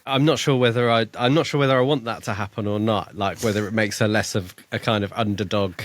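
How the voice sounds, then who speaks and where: Deep-voiced, thoughtful man. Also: fairly even intonation, fast talker. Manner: thoughtful, hesitant, trails off and restarts. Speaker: podcast co-host. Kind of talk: casual book discussion.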